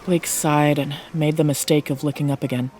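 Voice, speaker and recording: A woman's voice: deep voice, steady tone, normal speed, darkish tone, slight background noise. A woman giving a scripted narration of a fiction story as its narrator, close to the microphone.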